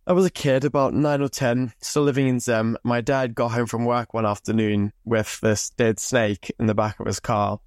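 A man's voice: medium pitch, level tone, moderate pace, few pauses, no echo. A young man from Zimbabwe hosting a podcast, telling stories in a loose, chatty way and swearing freely into a close mic. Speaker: podcast host. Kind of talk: casual storytelling monologue.

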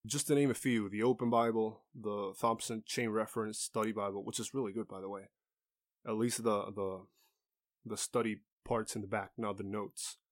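A man's voice: on the low side, fairly even intonation, moderate pace. A man hosting a podcast alone, explaining a subject in an earnest, explanatory manner with small restarts. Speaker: podcast host. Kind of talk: solo teaching talk.

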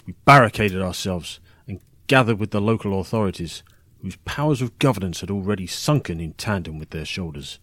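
Embittered tavern drunk, fantasy audio drama: low male voice, theatrical, scornful storytelling, close dry sound. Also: no room sound. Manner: theatrical, scornful, swinging from mocking mimicry to hushed menace. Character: bitter drunken man recounting his tragic past in a tavern.

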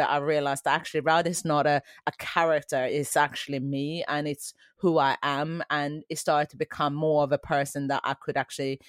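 A woman's voice: sits low, no echo, studio quality. A woman in her forties who grew up in Sweden, a dance teacher answering interview questions in an earnest, reflective way.

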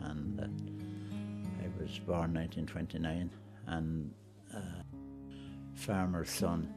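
A man's voice: low-pitched, fairly even intonation, slow pace, loud music behind the voice. An elderly man in his seventies with a rural Irish accent, reminiscing as a former hurler. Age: 70s. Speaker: former hurler being interviewed.

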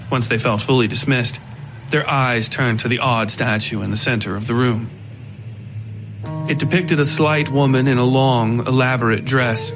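A man reading scripted dark fiction as narrator, in a measured, literary storytelling manner. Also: mid-range voice, slight background noise, low-quality recording.

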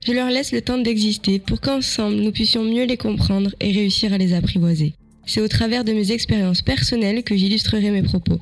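A woman host reading a calm, scripted podcast introduction and speaking straight to the listener. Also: medium pitch, fairly quick. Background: soft music.

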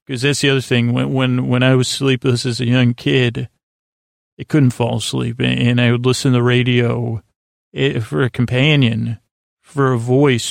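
A man with a heavily creaky, gravelly voice, recorded close and dry. He hosts a bedtime podcast, rambling soothingly and haltingly, often interrupting himself as he drifts along. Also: mid-range voice, no echo. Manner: halting, meandering, self-interrupting, gently absurd; soothing, lulling delivery. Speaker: podcast host. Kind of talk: rambling bedtime monologue to help listeners sleep.

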